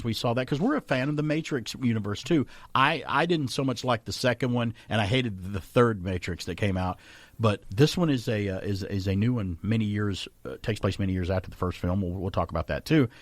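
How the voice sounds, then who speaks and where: Man, radio host, gravelly low voice, animated and jokey, dry studio sound. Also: dry recording. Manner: animated, jokey, fast talker with impressions of others. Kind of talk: radio chat show banter. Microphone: studio mic.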